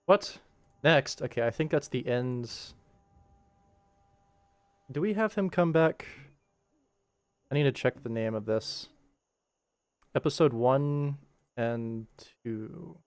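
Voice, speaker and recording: A man's voice: mid-range voice, slow pace, long pauses, no echo. A man giving casual livestream commentary, informally thinking aloud as he works on an animation production. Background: music.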